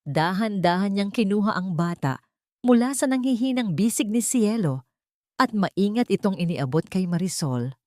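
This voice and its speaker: A woman storyteller giving a measured, dramatic narration of a scripted story. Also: medium pitch, expressive, darkish tone, dry recording, clean studio sound.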